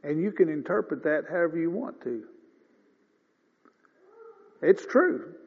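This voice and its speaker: A man in his fifties preaching a sermon in a folksy, conversational way, often clearing his throat. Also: a little high, sing-song, unhurried. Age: 50s. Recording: dull lo-fi sound with long reverb.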